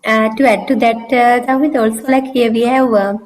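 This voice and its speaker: High-voiced woman teaching an online course. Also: steady tone, moderate pace. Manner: conversational, loose with fillers like "you know, like". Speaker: course instructor. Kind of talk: online course orientation talk.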